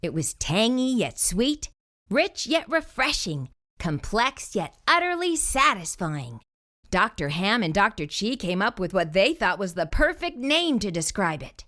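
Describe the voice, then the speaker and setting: A woman reading a children's picture book aloud as a storyteller, in an animated way with theatrical emphasis. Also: medium pitch, unhurried.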